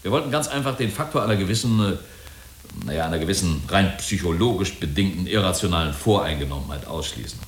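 An actor playing a glib company representative in a German radio drama, polite, wordy and officious. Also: male voice, low voice, animated, brisk, a little hiss or hum. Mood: evasive, defensive. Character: glib, jovial, evasive male company representative. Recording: roomy, echoing studio scene.

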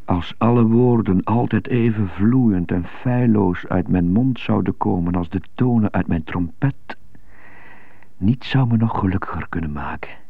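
An actor in a radio drama playing an anxious, stuttering jazz trumpeter who thinks aloud in a husky, halting, introspective way. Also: male voice, lowish voice, no echo. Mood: dreamy, yearning. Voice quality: husky, hushed, gravelly. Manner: halting, introspective inner monologue. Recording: muffled, narrow phone-like radio recording.

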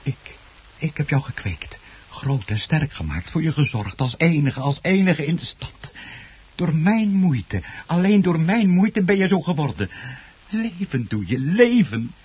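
An actor playing an obsessive, unhinged plant grower in a radio drama, muttering and repeating himself between hushed passages and outbursts. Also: male voice, on the high side, sing-song, a little slow, husky, exaggerated voice. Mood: possessive, doting. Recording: dull, muffled old radio play recording.

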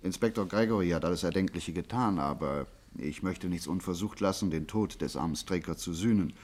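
A formal, earnest gentleman colonel in a radio drama. His voice is low and his address is polite. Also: a little fast. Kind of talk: German radio play dialogue.

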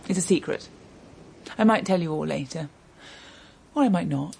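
An actress playing an excitable, teasing young woman not yet twenty in a radio drama, coy and eager. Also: fairly low pitch, moderate pace. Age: young adult. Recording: clearly noisy recording.

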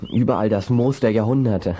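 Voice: mid-range voice, a little fast, dark tone, close to an everyday voice. A performer in a seventies German radio play voicing a plucky, bossy youth with an urgent, commanding manner. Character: plucky, bossy boy, older brother type, youthful. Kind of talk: 1970s German radio play for young listeners. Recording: vinyl rip with faint noise.

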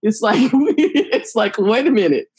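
Podcast host, woman, husky voice, outspoken and emphatic, repeats key lines for weight. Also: a little high, lively intonation, measured pace, a little mellow. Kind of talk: candid conversational podcast talk.

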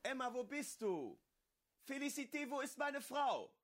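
A man's voice: very high-pitched, big pitch swings, measured pace, fairly bright tone, heavily acted. A man playing a good-natured, unsuspecting husband in a radio drama, chatty and homely in manner. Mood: cheerful calling.

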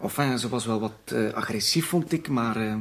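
An actor playing a polite, nervous young neighbour, stammering and hesitant in a radio drama. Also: male voice, mid-range voice, moderate pace, a bit bright, slight background noise, close to an everyday voice. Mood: hesitant, uneasy.

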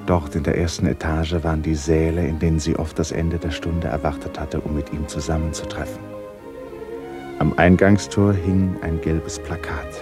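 Very deep-voiced man narrating a radio play. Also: level tone, moderate pace, music in the background. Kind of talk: literary radio drama narration. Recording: noisy radio production with ambience. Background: noise, ambience.

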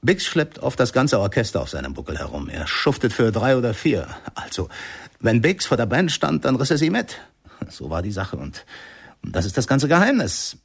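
Ein Schauspieler spielt in einem Hörspiel einen ehemaligen Bandkollegen, der rau und im Plauderton Erinnerungen erzählt. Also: male voice, medium pitch, sing-song, normal speed, full character voice. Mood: admiring. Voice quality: gravelly, husky. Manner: conversational, searching for words. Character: former bandmate fondly recalling his leader. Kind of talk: radio drama witness account.

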